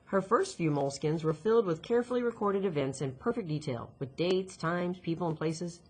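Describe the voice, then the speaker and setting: A woman's voice: lowish voice, a little fast, slightly rough. A woman narrating a children's novel expressively, reading from the text. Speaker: audiobook reader, teacher. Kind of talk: expressive scripted story narration.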